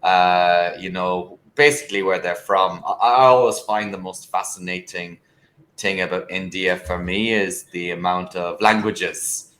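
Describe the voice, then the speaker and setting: A man's voice: deep voice, steady tone, a little slow, a bit bright, echoey room. An Irish man tutoring English over a video call, talking casually and hesitantly with lots of fillers and restarts. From Ireland.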